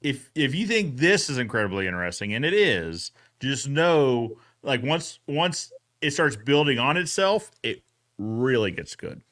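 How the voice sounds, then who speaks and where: Bright, forceful male podcast host. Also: medium pitch, sing-song, unhurried. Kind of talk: casual conversational recap talk.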